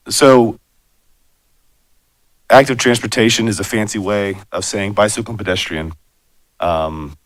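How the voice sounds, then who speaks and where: Raspy guy with a cold, super low voice, talking to city council, dry sound, lots of um and you know. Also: no room sound. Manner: conversational, many fillers and asides. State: hoarse from a cold. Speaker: bicycle and pedestrian planner presenting. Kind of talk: public meeting presentation. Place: city commission chamber.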